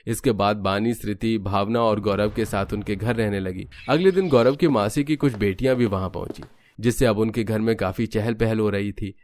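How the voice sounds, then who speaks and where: A man's voice: fairly low pitch, normal speed, darkish tone, slight background noise. A man reading scripted narration for a cartoon family story.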